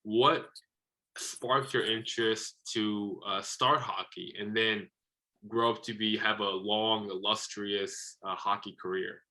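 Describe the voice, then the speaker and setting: A man's voice: fairly low pitch, steady tone, slow pace, sharp tone, rough recording. A man hosting a podcast, asking long, rambling questions in a chatty, encouraging way. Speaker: podcast host. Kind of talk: casual interview talk.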